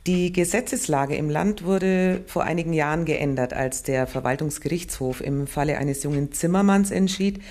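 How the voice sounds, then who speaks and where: A woman's voice: fairly low pitch, a little mellow. A woman acting as a dry, official-sounding narrator in a radio play.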